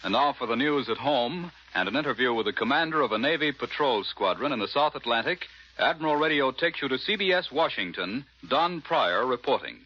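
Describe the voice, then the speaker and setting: A man's voice: mid-range voice, animated, a little fast, husky. An American man introducing segments as a network announcer in 1940s radio news style. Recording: muffled, hissy 1940s radio.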